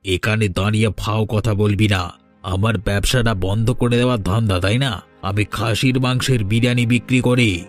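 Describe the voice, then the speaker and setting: A grumpy cartoon street vendor, played by a man, with a slightly low voice. He scolds and grumbles indignantly, with faint music underneath. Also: steady tone, normal speed, lightly acted. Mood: defensive. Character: grumpy, defensive street biryani seller, grown man. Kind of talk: cartoon folk-tale dialogue.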